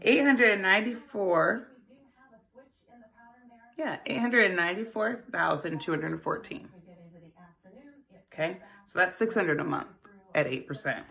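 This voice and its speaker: Female host, 40s, low-pitched with a gravelly edge, casual and playful. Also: lively intonation, a little slow, pauses often, bright, forceful tone, low-quality recording. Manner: chatty, laughs often, calls listeners honey and baby. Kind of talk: casual financial advice talk.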